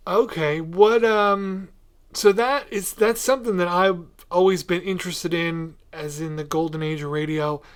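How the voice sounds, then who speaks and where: A man hosting a podcast in a casual, informal and excitable way. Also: sits high, unhurried, clear, resonant tone. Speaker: podcast host.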